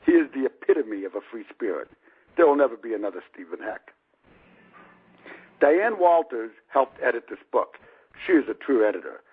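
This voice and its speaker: A man's voice: medium pitch, very animated, normal speed, pauses often, husky. A man in his fifties, an author reading his own book prologue aloud in a wry, conversational manner. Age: 50s. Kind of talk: audiobook narration of a personal prologue. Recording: muffled, lo-fi radio-like sound.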